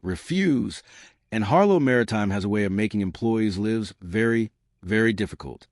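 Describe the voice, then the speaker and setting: A man voicing a cold, threatening henchman in a dramatic audiobook, making his terms clear in measured phrases. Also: fairly low pitch, expressive, dry recording, studio quality. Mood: cold menace.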